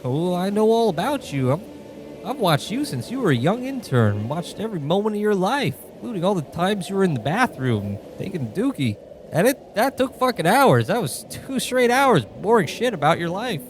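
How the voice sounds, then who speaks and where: A man voicing an eager, excitable guardian angel in a comedic parody radio play, chatty and cheerfully crude. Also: high voice, normal speed, slight background noise. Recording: music behind the voice.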